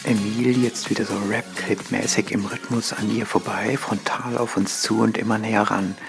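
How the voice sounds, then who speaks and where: A man's voice: lowish voice, flat delivery, moderate pace, creaky. A man performing as the narrator of a scripted spoken-word piece, describing action like a screenplay. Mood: narrating. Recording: music under the voice. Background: music.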